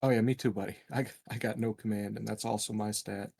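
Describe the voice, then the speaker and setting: A man's voice: lowish voice, steady tone, fast talker, gravelly, background noise. A man chatting casually as a player in a tabletop roleplaying game. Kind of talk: casual chat.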